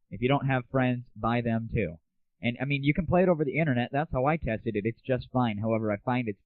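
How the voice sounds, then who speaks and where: A man's voice: lowish voice, no echo. A young American man hosting a casual solo show of gaming news and comic rants, irreverent, opinionated and slangy. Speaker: host. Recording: tinny, dry, phone-like narrow audio.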